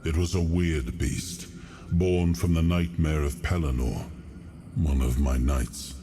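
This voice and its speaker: A man voicing a grave lord in a fantasy video game, recounting dark legends in a solemn, measured way. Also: rumbling low, steady tone, a little hiss or hum, full character voice.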